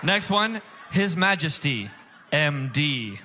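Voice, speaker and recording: A man's voice: fairly high pitch, sing-song, slow pace, noisy background. A man hosting a comedy game show on stage, with a showy, emphatic announcer delivery. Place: stage before a live audience.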